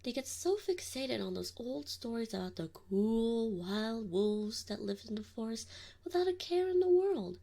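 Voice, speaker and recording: Lady doing a wolf mom, husky whispery voice, slow and fussing, pitch bobs up and down a lot. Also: sing-song, exaggerated voice. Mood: fussing, fond. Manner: soft, slow, often whispered. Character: doting, gently teasing wolf mother. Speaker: ASMR roleplay performer. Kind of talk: ASMR roleplay. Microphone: binaural mic.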